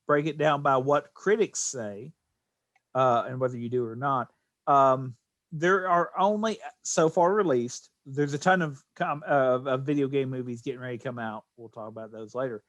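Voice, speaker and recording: A man's voice: mid-range voice, normal speed, a bit bright, no room sound, lo-fi sound. A middle-aged man chatting casually as a podcast co-host.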